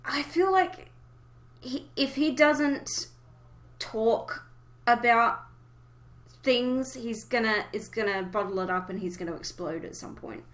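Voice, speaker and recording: A woman hosting a casual fan discussion, talking emotively with hesitant pauses as she thinks aloud. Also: a little high, fairly bright tone. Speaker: podcast host.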